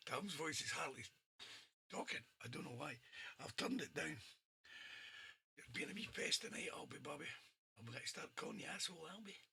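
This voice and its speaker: Dj guy losing his voice, raspy and crackly, pitch bouncing all over, chatty and laughing. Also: expressive, normal speed, long pauses, bright, forceful tone. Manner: chatty, laughs often, friendly asides to listeners. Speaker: radio DJ and host. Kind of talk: casual chat between records on an online radio show.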